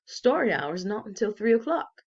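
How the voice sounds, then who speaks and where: A woman's voice: mid-range voice, big pitch swings, normal speed, lo-fi sound, voice pushed up. A woman voicing a stern, rule-minded female head librarian in a storybook, speaking firmly. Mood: brisk. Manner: strict, clipped, firm.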